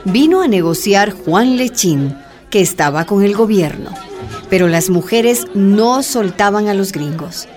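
A woman narrates a radio drama. Her medium-pitched voice tells the scripted story dramatically, with faint music behind. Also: expressive, a little slow, darkish tone, some chatter behind.

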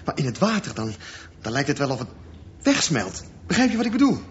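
An intense teenage boy, dreamy and insistent, in a radio drama. His voice sits slightly high for a man. The recording is muffled, with some noise behind it. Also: wildly swooping pitch, a little fast. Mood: earnest, insistent. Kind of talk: Dutch radio drama acting.

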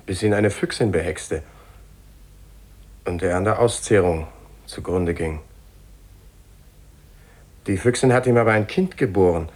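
An actor playing an uneasy cousin who tells an eerie family tale gravely in a German radio play. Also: male voice, low-pitched, measured pace, pauses often, a little mellow.

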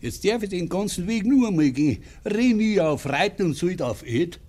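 An actor playing a tipsy, grumbling Bavarian farmer in broad dialect in a 1950s radio play. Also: male voice, high voice, lively intonation, moderate pace, darkish tone. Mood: tipsy, cross. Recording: noisy old 1950s radio recording.

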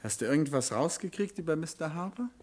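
An actor playing a courteous, shrewd gentleman detective in a 1950s German radio drama, measured and quietly probing. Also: fairly high pitch, lively intonation. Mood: affectionate. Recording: noisy vintage radio recording.

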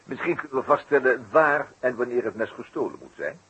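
An actor playing a courteous, shrewd detective with a hesitant, deliberately questioning way of talking in a 1960s radio play. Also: male voice, mid-range voice, big pitch swings, a bit bright, slightly rough, heavily acted, low-quality recording. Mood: probing.

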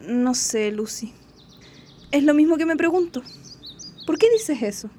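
A woman's voice: sits high, lively intonation, measured pace, dark tone, slight background noise. A woman acting a lovelorn, defiant young woman in a radio drama. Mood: wistful, surprised.

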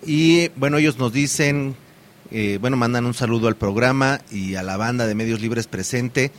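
A man's voice: mid-range voice, normal speed. A man hosting a community radio program, talking in a loose, hesitant way with many fillers and restarts.

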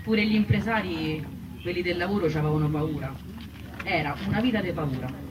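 A quick-talking female voice with a Roman accent, an actress as a poor woman recalling hardship. She is colloquial and insistent, repeating herself as in stage testimony. The recording is distant and noisy. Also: on the low side, natural voice. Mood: indignant. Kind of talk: theatrical first-person testimony. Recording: distant stage sound with clear noise.

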